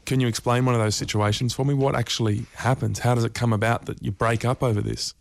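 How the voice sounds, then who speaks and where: A man's voice: mid-range voice, little pitch movement, fast, creaky, no echo. A man conducting an informal radio interview, asking probing questions.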